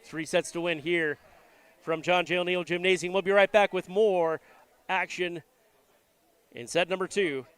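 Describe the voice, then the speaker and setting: A man's voice: high-pitched, fairly even intonation, unhurried, long pauses, fairly bright tone, smooth, dry recording. A man with a congested voice, just over a flu, giving easygoing live commentary on a school volleyball game from a gymnasium. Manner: easygoing, self-deprecating. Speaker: play-by-play announcer and station manager.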